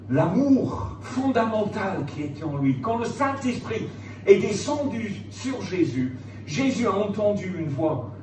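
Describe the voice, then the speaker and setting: Male preacher, very lively intonation, hushed-to-loud dynamics, insistent repetitions, echoey room. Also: a little high. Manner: emphatic, repetitive phrasing with rhetorical questions and "hein" tags. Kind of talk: impassioned Bible sermon. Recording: roomy, distant mic, clear background noise.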